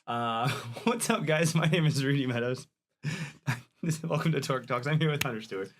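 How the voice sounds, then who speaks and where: A man hosting a relaxed podcast chat, teasing his guest and laughing often as he talks. His voice sits at an ordinary pitch, with a faint hiss of noise under it. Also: moderate pace. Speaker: podcast host. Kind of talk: casual chat.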